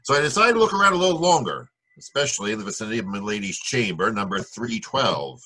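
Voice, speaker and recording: An actor playing a wry, cynical private detective in 1940s radio noir style, with a husky voice and a sardonic manner. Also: male voice, fairly low pitch, very animated, quick pace, bright, forceful tone, exaggerated voice. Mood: wry narration. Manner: sardonic, wisecracking. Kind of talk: 1940s radio noir acting, narration and dialogue.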